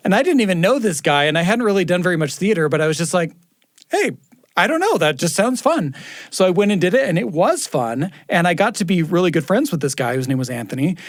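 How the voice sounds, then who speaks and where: A man's voice: sits high, very animated, fast talker, no echo. An American man co-hosting a podcast, speaking in a casual, reflective way at a close studio microphone. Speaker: podcast producer and co-host. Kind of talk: casual reflective chat and credit reading.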